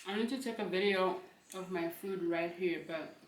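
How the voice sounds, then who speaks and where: A woman's voice: on the low side, fairly even intonation, moderate pace, a little hiss or hum. A young woman, chatting to the camera between bites of food while she hosts her channel. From South Africa. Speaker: channel host. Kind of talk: casual chat while eating. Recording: echoey room, mic at a distance.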